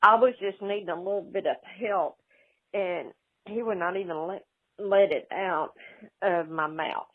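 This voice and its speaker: Halting woman on a tinny phone line. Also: on the low side, dry recording. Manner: halting, hesitant, repeats herself. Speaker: prank call recipient. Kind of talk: unscripted phone conversation and voicemail. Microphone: telephone.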